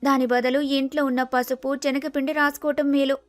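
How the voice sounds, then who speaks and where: A sceptical young woman acted by a female storyteller in a moral tale. Her high voice reasons and lectures sensibly, dry and close over faint music. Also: little pitch movement, a little fast, dry recording, natural voice. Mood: matter-of-fact.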